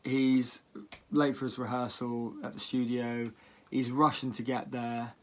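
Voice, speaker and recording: A man's voice: medium pitch, little pitch movement, measured pace. A man giving casual spoken commentary as a music reaction vlogger, with a hesitant, rambling delivery.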